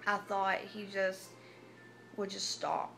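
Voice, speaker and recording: A woman, telling her personal story to camera in a halting, hesitant way with many restarts. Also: medium pitch, monotone, gravelly, bright, forceful tone, faint music behind. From Tennessee. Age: 20s. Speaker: vlogger. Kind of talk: personal confessional vlog.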